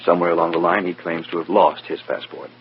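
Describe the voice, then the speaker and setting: A deep-voiced male investigator played by an actor from a vintage radio thriller. He talks quickly, coolly and with polite pressure, on a dull, noisy recording. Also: little pitch movement, natural voice. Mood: polite, probing. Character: cool, probing, authoritative government investigator. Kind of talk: old-time radio drama acting.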